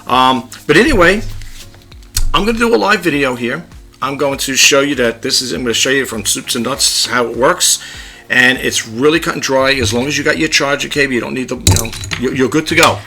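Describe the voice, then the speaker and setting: A man talks casually through a gadget demo at his home desk, rambling in a low-key, near-monotone way. His voice has a bright, forward edge, and soft music sits faintly under it. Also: a little fast. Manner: chatty, rambling, demonstrating gadgets. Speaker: YouTube vlogger. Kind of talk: casual tech demo vlog.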